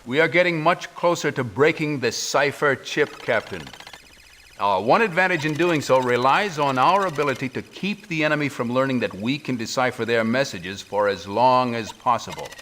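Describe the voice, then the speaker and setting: A man playing a grave middle-aged resistance general, formal and deliberate, in a video game mission briefing. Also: mid-range voice, a bit bright, close to an everyday voice. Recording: faint background noise.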